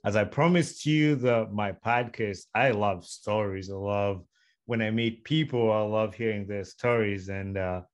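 A man's voice: fairly low pitch, measured pace. Ugandan-born male podcast host, eager. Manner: friendly, eager, repeats himself. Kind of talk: casual podcast interview.

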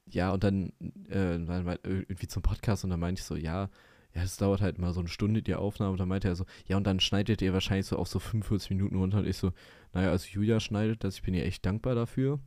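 A man's voice: low-pitched, fairly even intonation, fast talker, a little mellow, dry recording. A young man co-hosting a podcast in casual chat, rambling and laughing a lot. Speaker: podcast co-host.

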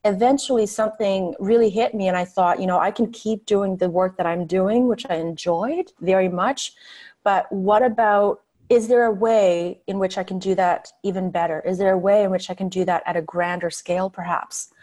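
A woman's voice: medium pitch, fairly even intonation, moderate pace, a little mellow. A woman who grew up in Scandinavia, a guest telling her story in an articulate, conversational way. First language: a Scandinavian language. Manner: articulate, storytelling, with light laughs. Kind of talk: interview conversation.

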